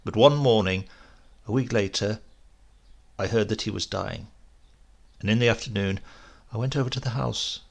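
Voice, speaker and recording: A man narrating a literary short story in a measured, descriptive manner. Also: on the low side, gravelly, fairly bright tone. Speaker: audiobook narrator.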